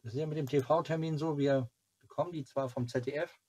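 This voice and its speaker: A man's voice: on the high side, fairly even intonation, fairly quick, darkish tone, slightly rough. A man in his forties chatting casually to viewers as a channel host, rambling and thinking aloud. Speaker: host of a children's TV fan club channel. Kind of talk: casual vlog chat to viewers. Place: small cluttered room at home, indoors. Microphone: camera or webcam at arm's length.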